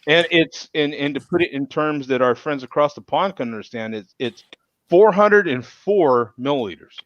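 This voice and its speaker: A man's voice: a little high, lively intonation, moderate pace, no echo, highs cut off. A man hosting a casual chat show in a folksy manner, often repeating himself as he talks. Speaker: show host.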